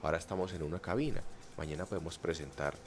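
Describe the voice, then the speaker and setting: A man hosting a radio interview from a booth, often checking in with a quick "sí?". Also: low voice, slight background noise. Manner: checks in with the listener, asking "sí?". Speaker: radio host and social communicator.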